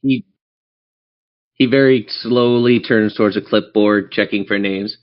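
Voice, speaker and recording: A man's voice: lowish voice, steady tone, unhurried, clear, resonant tone, no room sound, lo-fi sound. Man narrating, dry and close-miked. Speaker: game master. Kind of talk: dramatic narration of a tabletop fantasy game.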